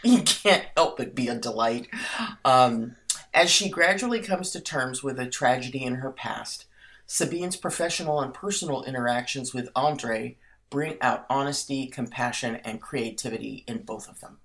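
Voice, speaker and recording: Very low-voiced American woman co-host. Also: normal speed. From United States. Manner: animated, giggly, reads summaries aloud. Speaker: podcast co-host. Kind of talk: casual chat.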